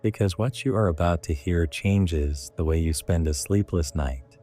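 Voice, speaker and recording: A man narrating a scripted guided sleep meditation in a hushed, deliberate way, in short phrases. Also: low voice.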